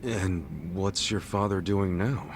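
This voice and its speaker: Easygoing deep-voiced buddy. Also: male voice, slow pace, a little hiss or hum. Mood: sympathetic. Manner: casual, slangy, direct. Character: easygoing, blunt, curious male friend. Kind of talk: video game cutscene acting.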